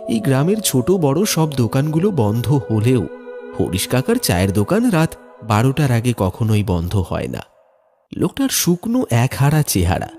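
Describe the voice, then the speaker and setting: A man's voice: mid-range voice, darkish tone. A man narrating a horror story in a dramatic, scripted style.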